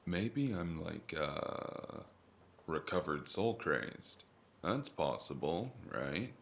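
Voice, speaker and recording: A man playing a weary, laconic stranger with a gravelly voice in a noir audio drama. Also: sits low, sing-song, drawn-out words, fairly bright tone, full character voice. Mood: weary, bemused.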